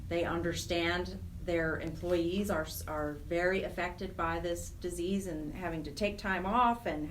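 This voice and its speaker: A woman's voice: lowish voice, far from the mic, a little hiss or hum, rough recording. A woman speaking conversationally and earnestly as a nonprofit director being interviewed, stressing points by repeating words. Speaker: nonprofit program director, radio interview guest.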